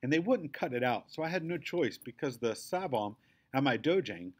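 Man voicing a feisty, excitable little girl. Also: medium pitch, a little slow, voice pushed up. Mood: defensive, breathless rant. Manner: emphatic, excitable.

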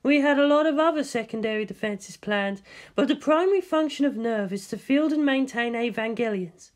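A woman reader puts on a female scientist for an audiobook. She is tense and clinical, speaking in terse technical phrases on a raised pitch. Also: animated, moderate pace, close to an everyday voice. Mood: dull explaining.

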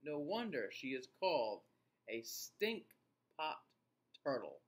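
Slow-paced man reading to children. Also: medium pitch, very animated. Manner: slow, deliberate, child-directed delivery. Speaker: children's read-aloud host. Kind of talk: reading a children's nonfiction book aloud with asides to young viewers. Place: small indoor room.

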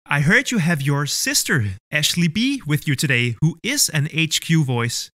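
A man's voice: fairly high pitch, animated, normal speed, a bit bright, dry recording, studio quality. A man hosting a mock talent show in an upbeat, showman-like way. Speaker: host.

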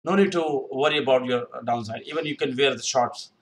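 A man's voice: medium pitch, fairly quick, low-quality recording. A young man giving unscripted interview advice over a screen recording, rambling and repeating himself with many fillers, recorded on a webcam in a small room. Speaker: youtube career advice host. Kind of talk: unscripted screen-share tutorial. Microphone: webcam in corner of screen recording.

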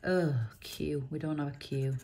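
A woman, croaky from a chest infection, chatting casually while she reads out letters and symbols on scratch cards at a table indoors. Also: sits low, steady tone, measured pace, dark tone, a little hiss or hum. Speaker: scratch card vlogger. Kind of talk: casual commentary.

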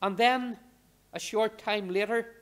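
A man in his sixties preaching a sermon from a church pulpit, speaking in short measured phrases with pauses and rhetorical questions. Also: high-pitched, fairly even intonation, smooth. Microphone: lectern microphone.

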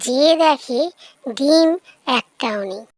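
A narrator reading a scripted children's fairy tale for an animated cartoon. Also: sits high, takes it slowly, dry recording.

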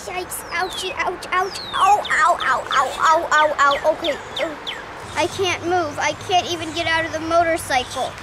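A woman's voice: very high voice, normal speed, heavy background noise. A woman voicing a cheerful young man doll who rides a motorcycle, upbeat and whiny when hurt, in a toy play video.

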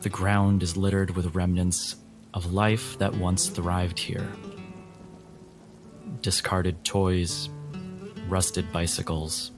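Male game master, very low register, ominous atmospheric narration over prominent music. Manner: deliberate, dramatic, ominous storytelling. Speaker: game master of a role-playing podcast.

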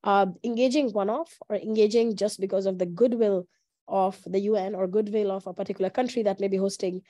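Young female panelist, deliberate and emphatic, dry close sound on a video call. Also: mid-range voice, steady tone, dark tone, no room sound. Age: young adult. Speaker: youth delegate panelist. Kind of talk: panel discussion answer.

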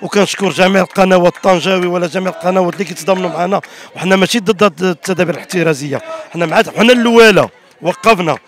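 Very fast, gravelly man. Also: sits high, a little hiss or hum. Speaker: interviewee, events business owner. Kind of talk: street interview complaint. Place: outdoors by a gate, large plaza. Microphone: handheld reporter microphone.

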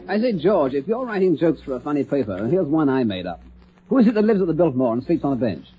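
A man's voice: on the high side, sing-song, fast talker. A man playing an affable, mischievous sidekick in 1930s radio comedy, polite and mock-earnest. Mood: playful. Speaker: cast member on a radio comedy show. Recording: noisy dull 1930s radio recording.

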